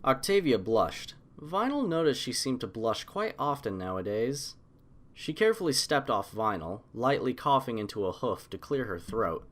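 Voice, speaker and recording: A man narrating a scripted fan story as an audiobook, in an expressive storytelling style. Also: mid-range voice, normal speed.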